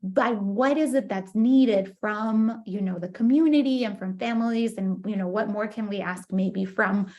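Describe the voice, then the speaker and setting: A woman's voice: mid-range voice, unhurried, hardly any pauses, a little mellow, dull audio. A woman in her thirties, a native Spanish speaker from Costa Rica, giving a casual talk as a guest researcher over a laptop mic on a video call, friendly and full of ums and restarts. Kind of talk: casual online lecture.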